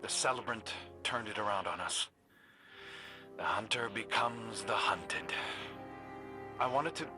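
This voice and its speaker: Earnest young hunter over music. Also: male voice, on the low side, expressive, unhurried, long pauses. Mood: resigned, tender. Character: earnest, daring young male hunter, self-sacrificing. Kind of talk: video game cutscene acting. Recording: music behind the voice.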